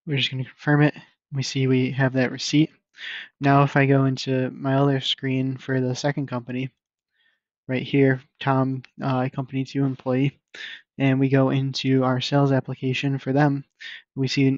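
Flat-toned man giving a software walkthrough. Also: medium pitch, no room sound. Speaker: software demo presenter. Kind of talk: casual screen-share software walkthrough. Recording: dull lo-fi microphone recording.

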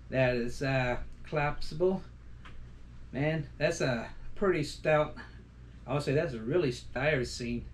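A man's voice: on the high side, a little hiss or hum. A middle-aged man chatting loosely at home for his outdoor vlog, folksy and full of restarts and fillers. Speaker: outdoor vlogger. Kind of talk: rambling casual vlog chat. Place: small decorated home room, indoors.

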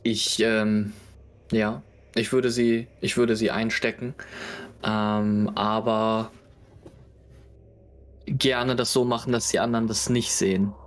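A man chatting casually as a tabletop game player, hesitant and halting. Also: lowish voice, level tone, slight background noise.

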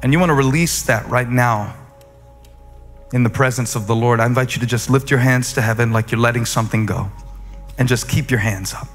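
A man's voice: mid-range voice, brisk. A male pastor delivering a sermon and prayer with rhythmic repetition that builds to emphatic peaks. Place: large church auditorium. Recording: long reverb of a large hall, soft music underneath. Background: faint music.

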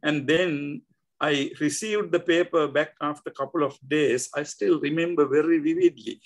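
An older man with a Sri Lankan accent, speaking as an interview guest over a video call, halting with many fillers and restarts. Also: a little high, steady tone. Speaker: guest scholar. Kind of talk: reflective interview talk. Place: indoor home room.

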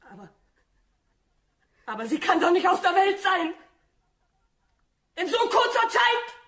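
A woman terrified of ruin in a radio play. Her voice is extremely high, shrill and agitated, wailing in panic. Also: sing-song, takes it slowly, fairly bright tone, exaggerated voice. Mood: frantic. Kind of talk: German radio drama acting.

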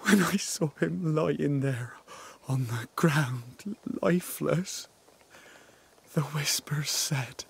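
A man playing a guilt-ridden, devout settler in a video game drama, with a breathy, halting, anguished delivery. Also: fairly high pitch, a little hiss or hum, full character voice. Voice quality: breathy, husky, breaking into whispers.

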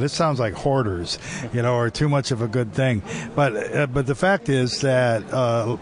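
A man hosting an interview at a trade expo, asking rambling, joking questions. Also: medium pitch. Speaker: interview host. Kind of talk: conversational tech interview. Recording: busy expo floor with crowd noise. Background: crowd chatter.

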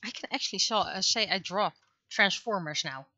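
Middle-aged female streamer, native Dutch speaker. Bright tone, rambling casual chat, slightly dull recording. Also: mid-range voice, animated, normal speed, no echo. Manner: rambling, thinking aloud. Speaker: streamer and webcomic artist. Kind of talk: casual livestream chat while drawing. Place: indoors at a computer.

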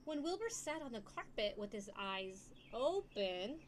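A young woman reading a picture book aloud to young children in an expressive, questioning storytime manner. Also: on the high side, unhurried, a little hiss or hum. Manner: expressive, asks listeners questions. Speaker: storytime reader.

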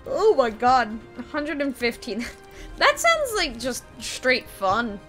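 A woman's voice: high voice, huge pitch swings, takes it slowly, fairly bright tone. A young woman streaming a card game at her desk, chatting gleefully with viewers, laughing and swearing casually, her voice a little strained. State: voice slightly strained. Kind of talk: casual gameplay commentary. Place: small room at a gaming desk. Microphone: desk mic, webcam at computer. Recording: game music faintly behind.

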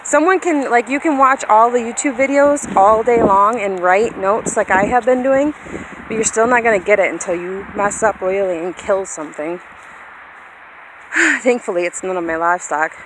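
A woman in her thirties chatting informally and self-deprecatingly to viewers, recorded on a handheld phone outdoors. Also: normal speed. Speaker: vlogger, hobby farmer. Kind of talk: casual rambling vlog chat to viewers. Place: outdoors in an open grassy yard. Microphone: handheld phone at arm's length. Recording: windy outdoor phone recording, vehicle-like rumble. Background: wind and outdoor noise.

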